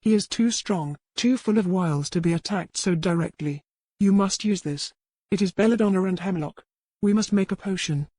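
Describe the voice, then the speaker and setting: A woman's voice: mid-range voice, normal speed, mellow, no room sound, close to an everyday voice. A woman voicing a loyal, world-weary old herbalist servant in a historical romance audiobook. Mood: urgent. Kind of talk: dramatic audiobook character reading.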